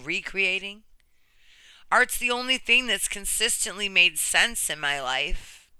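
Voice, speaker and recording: Very bright, crisp woman narrator in her thirties. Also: fairly low pitch, no room sound. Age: 30s. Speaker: storyteller reading a personal true story. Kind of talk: scripted first-person narration.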